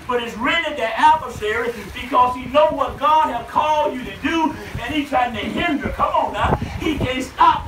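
A distant, echoey recording of a male preacher at a tent revival. He has a Southern American accent and a very high-pitched, exhorting delivery, throwing short calls out to the congregation as he goes. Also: a little hiss or hum. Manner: exhorting, shouting, repeated short interjections to the congregation. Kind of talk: fervent revival sermon. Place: outdoor revival tent at night, before a seated audience. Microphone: handheld mic, filmed from the audience.

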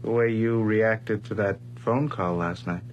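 A man's voice: fairly low pitch, fairly even intonation, unhurried, dull audio, lightly acted. An actor playing a casual, wisecracking friend who placates a troubled man in a 1980s radio horror drama. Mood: joking, casual. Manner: casual, reassuring, sometimes exasperated. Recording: noisy, humming old radio broadcast recording.

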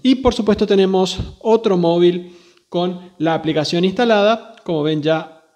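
A man's voice: high voice, normal speed, darkish tone. A man hosting a hobby channel, giving a casual, chatty, informal product demo. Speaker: host of a radio hobby channel.

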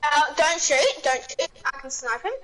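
A streamer chatting with teammates and making excited callouts during an online match. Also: female voice, high voice, normal speed, low-quality recording. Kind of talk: casual game chat.

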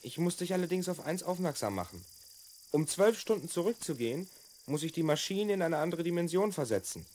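A man's voice: on the high side, sing-song, brisk, a little mellow, slight background noise. An actor playing a confident twelve-year-old boy from the future in a children's audio drama, explaining and instructing with assurance. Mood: explanatory, assured. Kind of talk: 1970s German children's audio drama acting.

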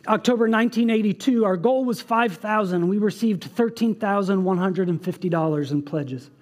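High-voiced man preaching a sermon. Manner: earnest, reading aloud with asides. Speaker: pastor. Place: church stage with band behind.

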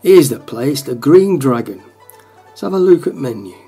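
A man's voice: medium pitch, animated, moderate pace, dark tone, breathy edge, faint music behind. A man playing a wry, melancholy football fan narrating a radio play.

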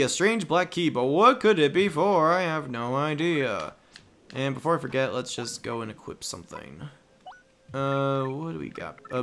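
A man's voice: mid-range voice, fairly bright tone. A man hosting a let's play, giving rambling, offhand commentary in a casual style.

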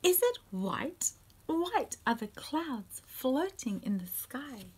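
Slow, very animated woman storyteller. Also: high voice, husky. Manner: animated, slow, simple questions to young listeners. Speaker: children's storytime host and reader. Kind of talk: picture-book read-aloud for young children. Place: small room at home, indoors.

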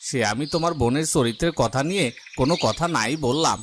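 A man's voice: mid-range voice, fast, noisy background, natural voice. A man voicing the needling, suspicious husband of a palace gardener. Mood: taunting. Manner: taunting.

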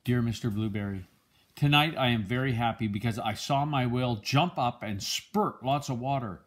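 Husky older man reading a storybook. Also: mid-range voice, normal speed. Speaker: storybook reader, a grandfather. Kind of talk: reading a children's picture book aloud.

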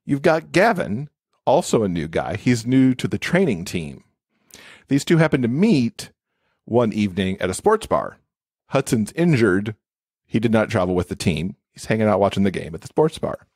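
A man with a fairly low voice talks casually as a podcast guest. His delivery is enthusiastic, and the recording is close and dry. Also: very animated, normal speed, darkish tone, husky, dry recording. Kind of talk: casual chat.

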